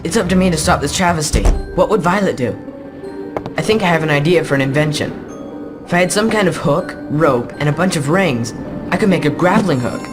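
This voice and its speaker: A man's voice: fairly high pitch, animated, brisk, close to an everyday voice. An actor playing an earnest, bookish, resourceful orphan boy in a video game, speaking precisely. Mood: determined. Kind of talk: video game character acting. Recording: loud music behind the voice.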